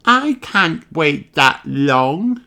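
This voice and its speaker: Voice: mid-range voice, big pitch swings, extremely slow, gravelly, pushed and bright, pitched above the performer's own voice, exaggerated voice. A reader voicing an eager, cheeky little girl piglet in a children's storybook, with exaggerated childlike delivery. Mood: impatient. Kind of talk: children's storybook read-aloud.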